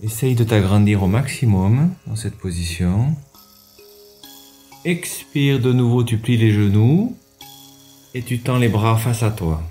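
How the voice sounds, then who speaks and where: A man guiding a yoga class, giving measured step-by-step instructions with pauses. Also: lowish voice, mellow. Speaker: yoga teacher and radio show host. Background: music under the voice.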